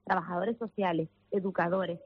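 A woman's voice: fairly low pitch, fairly even intonation, moderate pace, slightly rough, lo-fi sound. A woman lawyer with a Canarian accent tinged with Castilla-La Mancha, speaking earnestly as a radio guest, full of fillers and restarts. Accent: Canarian mixed with Castilla-La Mancha. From Canary Islands. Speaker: lawyer for minors and immigrants. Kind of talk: radio interview guest on the phone.

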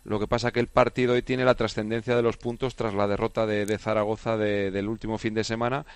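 A man analysing a football match for radio in a measured, explanatory way. His delivery stays flat and even, with little movement in pitch. The mic sound is dry and close. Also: clean tone, dry recording.

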